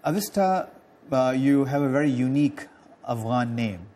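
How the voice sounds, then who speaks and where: A man from Pakistan hosting a studio interview show, speaking haltingly with many fillers and restarts. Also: medium pitch, lively intonation, mellow. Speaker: TV talk show host.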